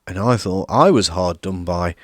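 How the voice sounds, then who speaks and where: A man voicing a polite, awkward young wizard boy who talks in a hushed, flustered way. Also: deep voice, moderate pace, no echo, clean studio sound, lightly acted.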